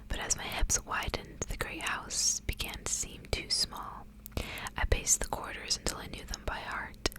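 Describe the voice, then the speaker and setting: A woman speaking in a breathy whisper in the style of an ASMR reader, softly reading and chatting. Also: rough recording. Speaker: ASMR reader hosting a book series. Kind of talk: whispered ASMR storybook reading with casual asides.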